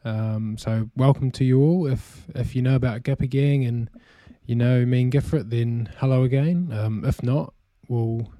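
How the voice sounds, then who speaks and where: A young adult man with a New Zealand accent, co-hosting a casual podcast chat in a relaxed way, filling pauses with "um" and laughing easily. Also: medium pitch, no echo. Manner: relaxed, many "um" fillers, easy laughter. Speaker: podcast co-host.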